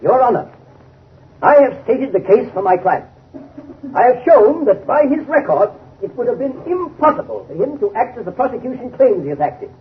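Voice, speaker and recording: An actor playing an impassioned defense attorney in a 1930s radio drama, pleading in an emphatic, oratorical way. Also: male voice, extremely high pitch, very animated, moderate pace. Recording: noisy, narrow-band 1930s radio broadcast.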